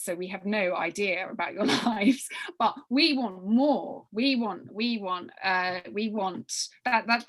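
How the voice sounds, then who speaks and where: Bright-voiced woman giving an emphatic informal briefing. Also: medium pitch. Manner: emphatic, repeating words and phrases as she thinks aloud. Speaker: workshop leader briefing young writers. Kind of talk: informal spoken briefing with question and answer.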